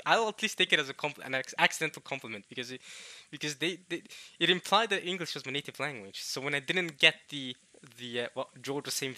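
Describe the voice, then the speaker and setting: A young man from Norway, chatting casually to viewers in a halting way, restarting words often with chuckling self-deprecation. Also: a little high, pushed and bright, dry recording. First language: Norwegian. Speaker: visual novel let's player.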